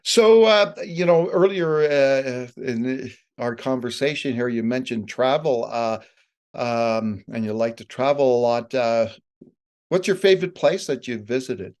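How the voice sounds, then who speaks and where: An interview host with a mid-range voice poses casual questions. The delivery is halting and hesitant, broken up by lots of fillers. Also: mid-range voice, expressive, darkish tone.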